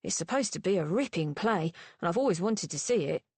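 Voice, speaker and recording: A woman's voice: fairly low pitch, animated, brisk, a little mellow, breathy edge, no room sound. Awkward eager young gent, read by a woman, chatty, dry close sound. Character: gawky, awkward young gentleman.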